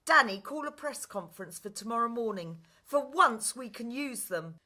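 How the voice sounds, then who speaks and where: A lovestruck young prince acted by a woman in a radio play. The voice is high, bright and very animated. He speaks with impatient urgency and gives orders readily. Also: moderate pace. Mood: urgent resolve. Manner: urgent, commanding. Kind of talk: musical radio play acting.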